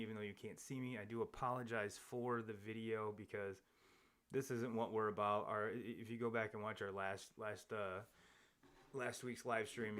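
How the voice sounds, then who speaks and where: A man with a lowish voice hosts a live sports podcast, talking casually about baseball. He rambles and restarts his sentences, with lots of fillers between thoughts. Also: fairly bright tone. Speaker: podcast host. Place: home studio, seated across from co-host.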